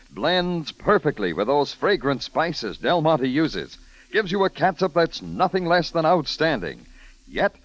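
A man's voice: a little high, no echo. An American man working as a 1940s radio announcer, selling in a folksy, emphatic commercial style. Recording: dull, dry old radio broadcast.

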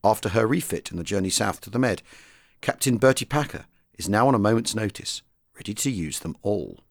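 A man's voice: fairly low pitch, lively intonation, brisk, no room sound. A man presenting and narrating a history programme in a scripted documentary style.

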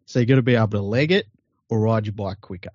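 A man from Western Sydney with an Australian accent, co-hosting a casual sports podcast, blunt and quick to swear and laugh at his own jokes. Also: on the low side, darkish tone, no room sound, muffled recording. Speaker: podcast co-host.

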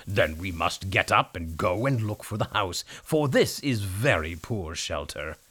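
A man voicing a big, practical, friendly donkey in a storybook tale, with drawn-out, deliberate speech. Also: low-pitched, animated, fairly bright tone, breathy edge, voice pushed down, heavily acted. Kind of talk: storybook character voice.

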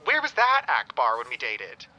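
Flamboyant, bright-voiced, dramatic ex-boyfriend. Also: male voice, mid-range voice, wildly swooping pitch, moderate pace, lo-fi sound, heavily acted. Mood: frank, challenging. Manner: theatrical, gushing, rambling. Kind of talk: audio comedy-drama acting.

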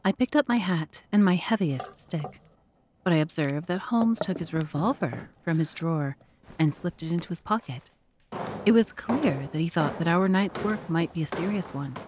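A woman with a slightly low voice serves as narrator of an audio drama. She delivers scripted, literary storytelling. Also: lively intonation, moderate pace, noisy background.

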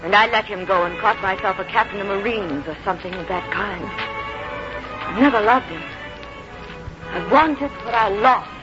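A woman's voice: medium pitch, normal speed, pauses often, close to an everyday voice. An actress playing a coy, wistful yet defiant society lady, theatrical and teasing, in a radio drama. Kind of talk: 1950s radio drama acting. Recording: dull 1950s radio recording, music behind.

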